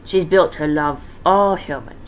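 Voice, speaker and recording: A man's voice: a little high, a little slow, fairly bright tone, low-quality recording. A young man reading fan fiction aloud as an informal dramatic narration, breaking off for casual asides. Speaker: amateur fan-fiction reader. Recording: faint background noise.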